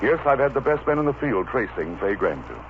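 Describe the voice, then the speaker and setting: An actor playing an ardent, protective gentleman lover in an old radio soap, with a commanding, melodramatic delivery. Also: mid-range voice, wildly swooping pitch, fast talker. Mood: urgent, frustrated. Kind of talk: old-time radio soap opera acting. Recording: muffled, noisy vintage radio recording.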